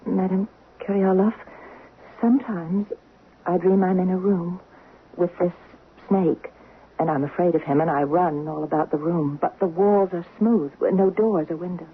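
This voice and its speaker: An actress playing an anxious, insecure, devoted young wife in a radio drama, hesitant and confiding. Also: female voice, on the low side, steady tone, normal speed, lightly acted. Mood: fearful, confiding. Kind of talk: 1970s radio drama acting. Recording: noisy, tinny vintage radio broadcast.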